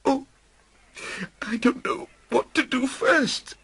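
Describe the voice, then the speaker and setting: A man reading a storybook aloud, voicing an old male miser who turns frantic and overjoyed, high and breathless. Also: wildly swooping pitch, takes it slowly, creaky, sharp tone, husky, full character voice. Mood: giddy. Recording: music playing behind.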